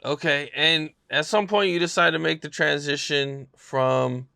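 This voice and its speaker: Gravelly bright-toned male interviewer. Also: a little high, a little slow, no room sound, clean studio sound. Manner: conversational, prompting questions. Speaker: podcast interviewer and host. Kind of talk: casual interview.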